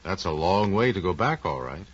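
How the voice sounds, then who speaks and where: Animated, low-voiced American radio announcer man. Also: moderate pace, husky. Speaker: radio program host and announcer. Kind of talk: scripted 1940s radio interview and announcing. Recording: dull, lo-fi old radio recording.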